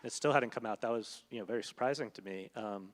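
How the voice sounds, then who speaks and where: A man's voice: lowish voice, fast talker. A man, a literary translator, talking onstage in a thoughtful, hesitant way with many fillers. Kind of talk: onstage conversation. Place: event hall. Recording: long reverb of a hall.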